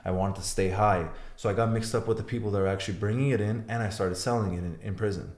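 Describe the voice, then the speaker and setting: A man's voice: on the low side, fairly even intonation, fast, darkish tone, roomy sound. A young man, born in Iran, telling his story as a podcast guest, blunt and swearing freely. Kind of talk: podcast interview.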